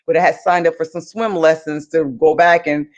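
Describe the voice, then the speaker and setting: A woman's voice: low-pitched, fairly even intonation, brisk. A woman marathon runner speaks earnestly over a remote video call, and the audio comes through dull and lo-fi. She sounds set on her goals and repeats her points to drive them home. Her delivery is casual and conversational. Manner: earnest and determined, repeats herself for emphasis. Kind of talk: casual interview talk.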